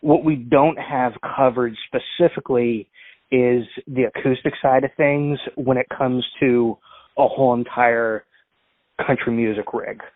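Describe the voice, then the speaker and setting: A man's voice: mid-range voice, measured pace, no echo. Rambling man on a tinny phone line. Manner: rambling, full of fillers and restarts. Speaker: prank caller. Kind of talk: prank phone call.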